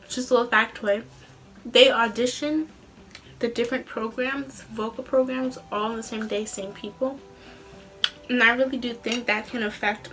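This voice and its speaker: A young woman telling a story in a casual, rambling vlog style, animated, with a slightly tired, tense voice. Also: on the high side, poor audio. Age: young adult. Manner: animated, rambling, acts out quoted dialogue. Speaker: student singer and vlogger.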